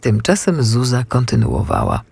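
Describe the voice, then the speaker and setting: A woman's voice: extremely low pitch, wildly swooping pitch, moderate pace, gravelly, darkish tone, husky, dry recording. A woman narrating a scripted children's story as an audiobook narrator. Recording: music under the voice.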